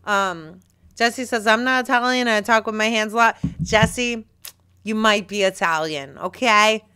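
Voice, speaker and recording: A woman in her thirties co-hosting a casual podcast, chatty, teasing and animated. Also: medium pitch, a little slow, clean tone. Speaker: podcast co-host. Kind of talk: casual chat.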